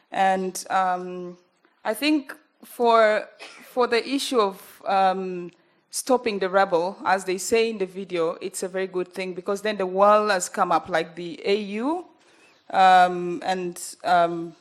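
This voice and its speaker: A young woman with a Ugandan accent talks at a panel in an earnest, halting way. Her voice sits in a middle pitch, and she often stops on fillers before going on. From northern Uganda. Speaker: journalist panelist. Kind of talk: panel talk.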